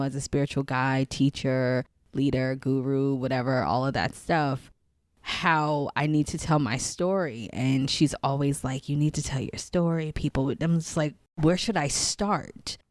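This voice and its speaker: An American woman from Queens, New York, chatting casually as a podcast guest and telling stories in an animated way. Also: low-pitched, dry recording, studio quality. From Queens, New York City, USA. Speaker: podcast guest, spiritual guide. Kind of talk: casual chat.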